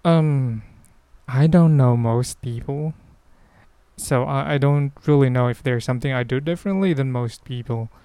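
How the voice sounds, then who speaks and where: A man's voice: medium pitch, normal speed, mellow, smooth, no echo. A man with a Filipino accent hosting a casual solo podcast, answering questions in a hesitant, rambling way as he thinks aloud. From Philippines. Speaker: podcast host.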